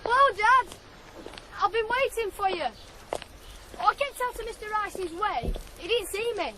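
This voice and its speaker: A woman's voice: very high voice, expressive, unhurried, heavy background noise. A woman playing an eager, shy teenage farm boy in a radio drama, hesitant and earnest. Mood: hopeful. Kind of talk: 1980s British radio drama acting.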